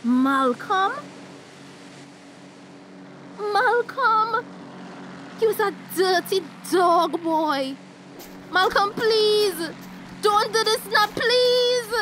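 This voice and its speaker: A woman's voice: very high-pitched, expressive, drawn-out words, fairly bright tone, a little hiss or hum, exaggerated voice, poor audio. A young woman with a Tobagonian accent acting a headstrong, lovestruck, anxious character in a radio drama. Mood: desperate.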